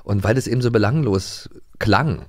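A man's voice: on the low side, normal speed. A man co-hosting a podcast in casual, joking chat, rambling with restarts and laughs into a studio mic. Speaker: podcast co-host.

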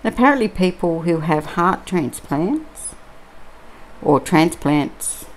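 A middle-aged Australian woman chatting casually and musing aloud, with many pauses and ums, while she crafts at a table indoors. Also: lowish voice, expressive, a little hiss or hum, low-quality recording. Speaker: crafting vlogger.

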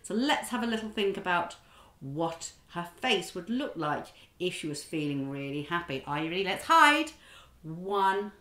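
A middle-aged woman leading a children's drama class and telling a story in an animated, expressive, encouraging way. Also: lowish voice, moderate pace, echoey room.

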